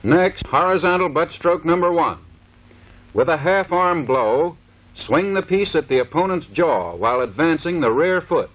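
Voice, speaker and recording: A man's voice: a little high, lively intonation, a little slow, a bit bright. An American man giving formal, clipped, scripted instructional narration in the manner of a 1930s military training film. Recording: dull vintage 1930s film soundtrack.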